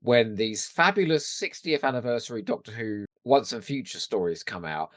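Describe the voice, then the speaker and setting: A man with a mid-pitched voice that swings up and down a lot. He chats casually as a podcast co-host, rambling and joking at his own expense, in a dry, close recording. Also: animated, normal speed, dry recording. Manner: jokey, self-deprecating, rambling. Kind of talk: casual chat.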